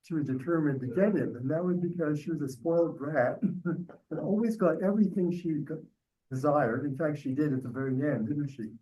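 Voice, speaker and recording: An older man taking part in a casual book discussion, speaking in a halting, thoughtful way. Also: on the high side, warm tone, rough recording. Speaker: book club member.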